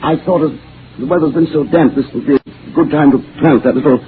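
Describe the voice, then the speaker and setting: A man's voice: a little high, fairly quick, natural voice. Man acting a meek professor, crackly old radio. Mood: evasive, mild. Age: middle-aged. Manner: hesitant, apologetic, mild. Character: meek, absent-minded middle-aged professor, henpecked husband. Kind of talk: 1940s radio drama acting. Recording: noisy, phone-like old radio broadcast.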